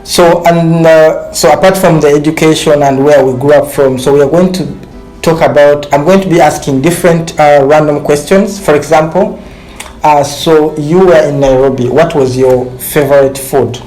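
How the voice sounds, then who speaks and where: A man's voice: a little high, fairly even intonation, normal speed, a little mellow, low-quality recording. A man with a Ugandan accent, from western Uganda, hosting a casual group interview in loose, repetitive phrasing. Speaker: host.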